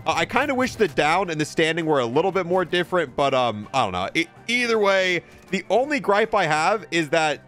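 A man's voice: fairly high pitch, fairly bright tone. A man giving casual, chatty, opinionated commentary as the host of a gaming video. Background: music.

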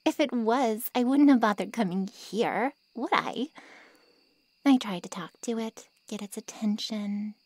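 A woman playing a haughty, callous ancient vampire in an ASMR roleplay drama, with mocking sarcasm and a breathy drawl. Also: on the high side, measured pace, darkish tone, no echo. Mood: dismissive.

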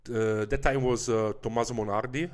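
A man in his thirties with an Italian accent, a native Italian speaker chatting casually in halting English as an interview guest. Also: medium pitch, fairly even intonation. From Milan, Italy. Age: 30s. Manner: halting, searching for words in imperfect English. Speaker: podcast guest, American football player. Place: small furnished room indoors. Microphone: handheld microphone.

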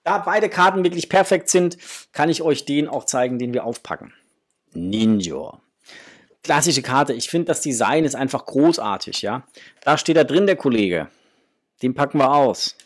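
A man in his forties with a medium-pitched voice talks casually as a review host. His delivery is informal and enthusiastic. He is recorded indoors in a small home room, at about arm's length from the camera. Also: lively intonation, moderate pace. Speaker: toy review channel host. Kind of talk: casual unboxing and review chat.

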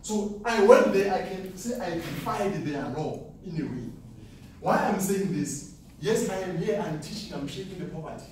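A young man from South Africa pitches a trading class to seated students, chuckling as he brags. His voice sits a little high. The room rings with echo and the camera is far from him, with faint noise underneath. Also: moderate pace. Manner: animated, boastful, laughs often. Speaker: forex trading speaker. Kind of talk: live seminar talk to students. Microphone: far camera, no mic.

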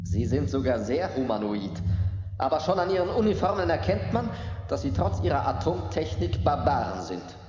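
An actor playing a morally stern starship commander in a science fiction radio play, speaking with authority and emphasis. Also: male voice, a little high, big pitch swings, normal speed, a little mellow. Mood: stern, indignant. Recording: muffled old cassette, long reverb, music.

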